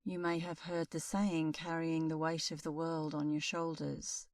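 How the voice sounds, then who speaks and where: Slow, low-voiced woman guiding meditation. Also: no echo, distant mic. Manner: calm, soothing, unhurried with long pauses. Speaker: meditation instructor. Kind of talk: guided meditation.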